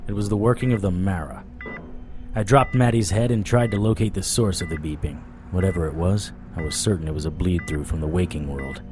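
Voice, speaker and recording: An actor playing a world-weary supernatural private eye, narrating an audio drama in a wry, deadpan way. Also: male voice, sits low, brisk, over music, close to an everyday voice. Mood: brooding, grim. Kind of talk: noir first-person audio drama narration.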